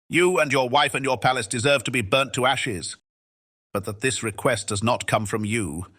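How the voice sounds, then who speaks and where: A man's voice: mid-range voice, fairly bright tone, no echo, clean studio sound, close to an everyday voice. A man playing an angry, commanding genie in a dramatized fairy tale audiobook, declaiming with indignation. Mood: furious.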